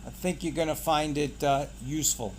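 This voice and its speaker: Higher-pitched man, casual unboxing host. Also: steady tone, normal speed, slight background noise. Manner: short, clipped phrases, pointing things out. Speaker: product reviewer and channel host.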